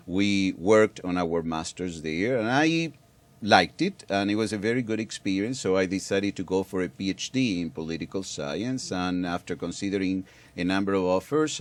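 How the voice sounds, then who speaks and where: A middle-aged man from Argentina, a guest on a public affairs program, explaining at length with hesitations and restarts. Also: low voice. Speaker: guest expert.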